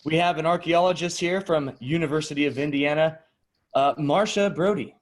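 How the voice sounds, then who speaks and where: A man's voice: on the high side, moderate pace, natural voice. A man playing a mock-serious cable news anchor in parody news style, hosting a debate. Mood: mock-serious, punchy.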